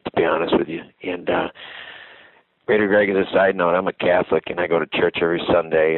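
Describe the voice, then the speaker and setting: A sick man with a gravelly voice calling in to a radio show, earnest and heartfelt with many fillers. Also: low-pitched, no echo. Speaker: caller. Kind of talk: phone-in call. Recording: tinny phone line.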